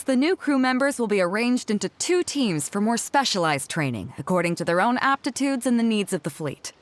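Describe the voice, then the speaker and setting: A woman's voice: medium pitch, close to an everyday voice. An actress playing a confident, easygoing woman fleet captain in a video game, with an assured, commanding, colloquial manner. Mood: brisk, explanatory.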